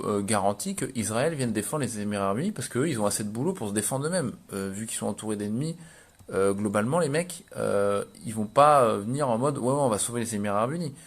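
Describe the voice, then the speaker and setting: A man in his thirties giving casual, slangy explanatory commentary, thinking aloud with hesitations and repetitions. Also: fairly low pitch. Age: 30s. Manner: informal, slangy speech. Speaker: vlogger.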